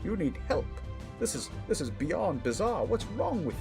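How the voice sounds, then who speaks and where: A man's voice: high-pitched, very animated, moderate pace, over music. A man playing a self-assured, well-meaning but blundering superhero in a comedic audio drama, with heroic bluster that slides into casual, earnest rambling. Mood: earnest scolding.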